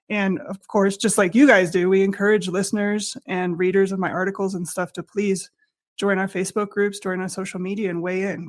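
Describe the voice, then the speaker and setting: A woman investigator guesting on a podcast, a little raspy from a head cold, explaining things clearly and methodically. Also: on the low side.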